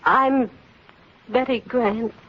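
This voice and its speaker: A woman's voice: a little high, steady tone, drawn-out words, a little hiss or hum. A woman playing a frightened, distrustful young captive in 1940s radio drama, anxious and pleading. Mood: frightened, suspicious. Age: young adult. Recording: muffled vintage radio recording.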